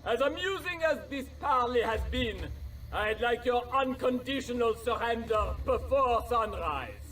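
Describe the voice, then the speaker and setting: Haughty, slow-speaking French baron. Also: male voice, extremely high pitch, animated, fairly bright tone, slight background noise, exaggerated voice, rough recording. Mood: smug. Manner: pompous, condescending, measured. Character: haughty, sneering French baron and villainous army commander. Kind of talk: dramatic video game cutscene acting.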